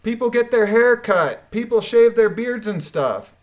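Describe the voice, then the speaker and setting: A woman's voice: a little high, animated, measured pace. A woman gives critical commentary as she reads through a document. She speaks bluntly and with emphasis, sounding indignant about what she is presenting. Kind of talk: reading a court transcript aloud with critical commentary.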